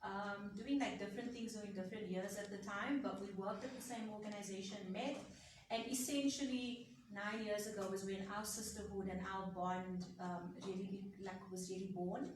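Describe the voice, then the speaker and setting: A woman's voice: medium pitch, steady tone, moderate pace. Composed South African woman, echoey and distant. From Cape Town, South Africa. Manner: composed, articulate, with a few fillers. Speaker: guest, attorney. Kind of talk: conversational interview answers. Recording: echoey room, distant mic, some noise.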